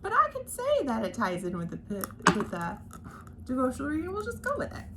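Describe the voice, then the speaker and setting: A woman's voice: high-pitched, huge pitch swings, brisk. Woman reading a devotional in a roomy space. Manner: gentle and earnest, with light informal asides. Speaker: devotional read-along host. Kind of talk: reading scripture and a devotional aloud. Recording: roomy echo with faint background noise.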